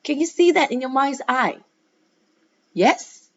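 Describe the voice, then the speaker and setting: A woman's voice: high voice, expressive, slow pace. A woman teaching a guided read-aloud lesson, asking questions and stressing key words as she explains. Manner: instructive, rhetorical questions with emphatic key words. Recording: dull, lo-fi sound.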